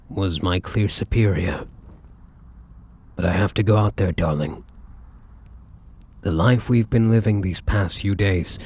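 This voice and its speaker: A man playing a devoted, courtly vampire lover in an ASMR roleplay, with a husky, breathy voice and formal, flowery endearments. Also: fairly low pitch, a little mellow. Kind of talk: ASMR roleplay, scripted romantic monologue.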